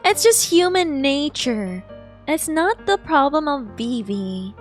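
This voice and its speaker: Very high-pitched, animated woman streamer. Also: takes it slowly. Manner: gushing, exclamatory, emotionally invested. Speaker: game streamer. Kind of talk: live game reaction commentary. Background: game music.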